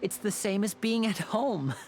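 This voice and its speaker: A woman's voice: medium pitch, animated, slow. A woman voicing an easygoing, courteous teenage boy stage magician in a video game. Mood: apologetic, light. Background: game music.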